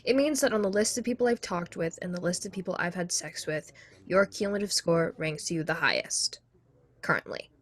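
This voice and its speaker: A woman's voice: lowish voice, fairly quick, close to an everyday voice. A young woman playing a skeptical, persistent girlfriend in a contemporary radio drama, dry and argumentative as she questions her partner. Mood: dry, insistent. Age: young adult. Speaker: student voice actor.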